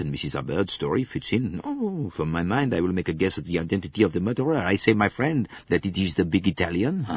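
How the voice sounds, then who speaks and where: A man putting on a hearty older railway director, low and husky, jumping eagerly to conclusions with lively swings in his voice. The recording is dry and slightly dull. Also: no echo, heavily acted.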